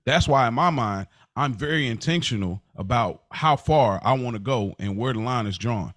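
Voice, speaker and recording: Man, podcast co-host, casual, rambling, mid pitch, dry close recording. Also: moderate pace, no echo. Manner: relaxed, rambling with restarts and repeated words. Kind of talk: casual chat.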